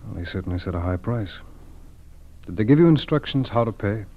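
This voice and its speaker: Tinny phone-like old radio sound, federal agent, lowish voice, calm, businesslike, methodical, 1940s crime drama. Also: male voice. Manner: measured, methodical questioning, reassuring. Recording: tinny, band-limited old radio recording.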